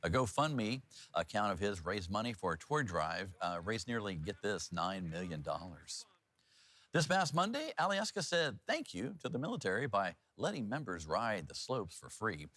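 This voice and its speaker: A man's voice: fairly low pitch, very animated, normal speed, a bit bright. A middle-aged American man presenting local TV news in a chatty, folksy, informal way. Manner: folksy, informal, loose ad-libbing. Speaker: news anchor and host. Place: TV news studio. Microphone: lapel mic.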